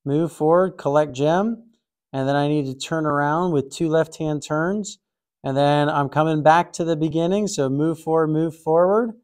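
A man hosting a coding tutorial, talking through a puzzle in a relaxed, thinking-aloud way with frequent fillers and restarts. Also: on the high side, clear, resonant tone. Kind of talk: casual screen-recorded walkthrough.

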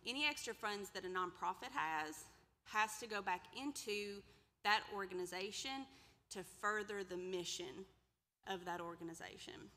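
Woman, 30s, extension presenter; chatty lecture, crisp bright tone, reverberant hall. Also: medium pitch, moderate pace. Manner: conversational, asks the audience questions. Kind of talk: workshop lecture with slides. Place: large lecture room indoors. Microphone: podium mic, far camera.

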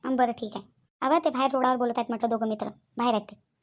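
A woman's voice: a little high, steady tone, rapid-fire, close to an everyday voice. A woman voicing a gossipy, neighbourly village woman in a cartoon, chatting casually with a Varhadi Marathi accent. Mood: chatty. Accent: Varhadi Marathi (Vidarbha). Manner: gossipy, familiar.

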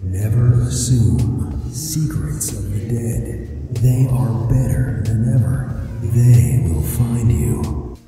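An eerie ghost acted out by a performer speaks in a slow, solemn, threatening way. The voice swims in heavy reversed reverb with clear music behind it. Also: medium pitch. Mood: ominous. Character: eerie menacing ghost warning about the dead. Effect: reverse reverb, ghostly wash. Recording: heavy echo over music.

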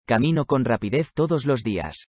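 A man reads learner sentences with a lively rise and fall in his voice. He sounds close to the mic, with a dry sound. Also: medium pitch, moderate pace, slightly rough, no echo. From Spain. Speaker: language lesson speaker. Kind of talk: reading practice sentences aloud.

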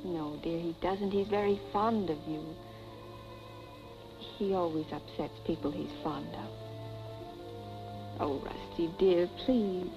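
An actress playing a poised, well-to-do young wife with composed, genteel diction in 1940s film style. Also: female voice, on the low side, slow, pauses often. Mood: soothing, concerned. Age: young adult. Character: poised, self-possessed wealthy young wife, soothing then uneasy. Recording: dull 1940s film soundtrack with music.

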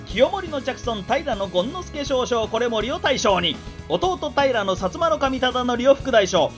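Brash, animated samurai scout, high-voiced. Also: female voice. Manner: theatrical storytelling patter in rough, old-time speech. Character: brash, talkative samurai scout telling war news with relish. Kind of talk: radio drama acting.

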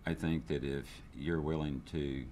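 A man's voice: extremely low pitch, fairly even intonation, measured pace, mellow, a little hiss or hum. An older man, a business owner, speaking candidly in a seated interview, with frequent restarts and fillers. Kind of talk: interview testimonial. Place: indoor room, seated interview. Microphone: lapel.

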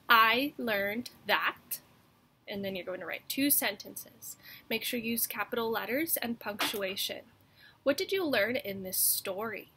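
A woman's voice: on the high side, noisy background. A young woman teacher reading a storybook and giving a lesson to children. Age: young adult. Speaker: elementary school teacher.

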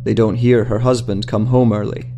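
A man's voice: fairly low pitch, flat delivery, moderate pace, vocal fry, dark tone, slight background noise. A man reading a scripted short story as a dramatic narrator. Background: music.

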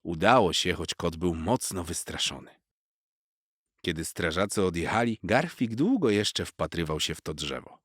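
A man's voice: low-pitched, animated, moderate pace, fairly bright tone, slightly rough, no room sound. A man narrating a children's story expressively from a script, close on the mic.

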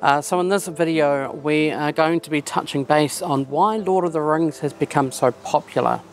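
A man's voice: fairly high pitch, normal speed. A man hosting a fan video, talking casually to camera with lots of fillers. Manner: relaxed, filler-heavy talk. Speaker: host.

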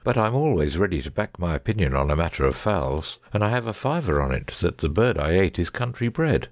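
Audiobook drama: cool Victorian detective male actor, low and expressive voice, unruffled, close dry recording. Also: brisk, dry recording. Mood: careless, goading. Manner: careless, bland, unruffled. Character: shrewd, self-assured Victorian gentleman detective.

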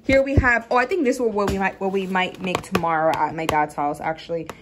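A woman's voice: lowish voice, fairly even intonation, fairly quick. A young adult woman chatting casually and enthusiastically to viewers as a vlogger in a home kitchen. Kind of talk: casual grocery haul vlog chat. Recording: clear background noise and room ambience.

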